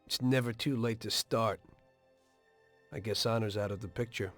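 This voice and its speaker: An actor playing a betrayed, principled mobster in a game cutscene, earnest and bitterly defiant. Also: male voice, fairly low pitch, fairly even intonation, normal speed, fairly bright tone, faint music behind, natural voice. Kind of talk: dramatic video game cutscene acting.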